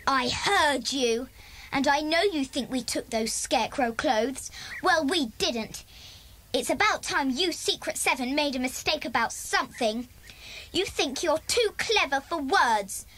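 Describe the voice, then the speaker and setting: A woman's voice: high-pitched, lively intonation, measured pace, pushed and bright, full character voice. Piercing, bratty little girl. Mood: taunting. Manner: sneering, petulant. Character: bratty, taunting little sister.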